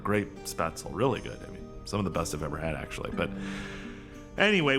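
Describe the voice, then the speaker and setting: A neurotic middle-aged male boss in a comedic podcast drama. His voice sits on the low side, and he rambles theatrically off on tangents. Music plays faintly behind him. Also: fairly bright tone. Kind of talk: scripted audio drama dialogue, comedic.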